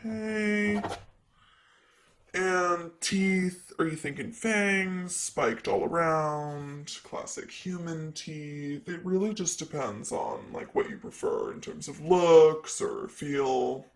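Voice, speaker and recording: Here is a man acting as a vain, flirty demon secretary in an ASMR roleplay. His voice sits a little high for a man, and he takes his time with every phrase. He is sassy and casually teasing, an ancient being doing desk work. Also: slow pace. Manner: teasing, casual, drawling asides.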